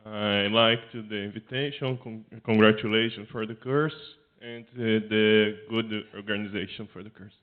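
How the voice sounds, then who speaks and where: A man's voice: on the low side, fairly even intonation, moderate pace, hardly any pauses. A man with a Brazilian accent, a native Portuguese speaker, giving a brief thank-you speech as a medical resident. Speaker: third-year neurosurgery resident. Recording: narrow tinny phone-like sound.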